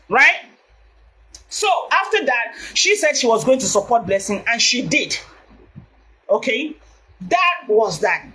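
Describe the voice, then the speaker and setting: A Nigerian woman with a loud voice, talking on a live video in an emphatic way and repeating her points. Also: a little high. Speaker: vlogger.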